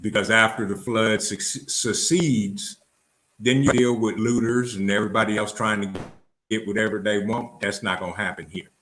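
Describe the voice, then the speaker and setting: Low-voiced man chiming in agreement. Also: fairly even intonation, moderate pace, fairly bright tone, poor audio. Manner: agreeable, frequent short affirmations. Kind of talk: casual video call chat.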